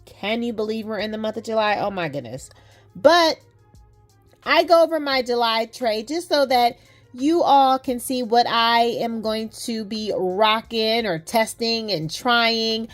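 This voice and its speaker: A woman vlogging about fragrances in a lively, chatty way, using playful slang. She says her nose is a little stuffy. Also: fairly high pitch, a little slow, faint music behind. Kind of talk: casual chat to camera.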